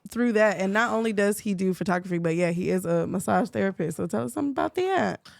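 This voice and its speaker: A woman's voice: medium pitch, lively intonation, fast, gravelly. A woman in her thirties co-hosting a casual chat, easygoing and slangy. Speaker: podcast co-host.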